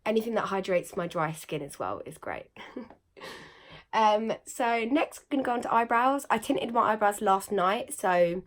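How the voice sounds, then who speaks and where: A young woman with a croaky, slightly nasal voice after being ill, chatting casually through a makeup tutorial with lots of fillers. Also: mid-range voice, big pitch swings, normal speed. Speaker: beauty vlogger.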